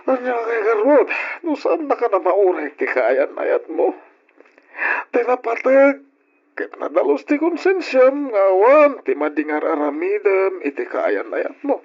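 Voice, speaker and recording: A man's voice: high-pitched, sing-song, unhurried, slightly rough, full character voice. A man playing a concerned older uncle with a Filipino accent, giving fatherly, emphatic counsel in an old radio drama. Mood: earnest counsel. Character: concerned older uncle, animated, advising his nephew. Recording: dull old radio recording, music behind.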